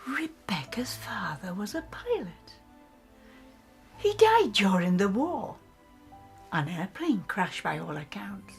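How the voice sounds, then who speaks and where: An actress playing a confiding woman in a drama, speaking in a hushed, breathy manner. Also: medium pitch, sing-song, slow pace, pauses often, soft background music, exaggerated voice. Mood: hushed, sombre. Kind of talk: drama acting.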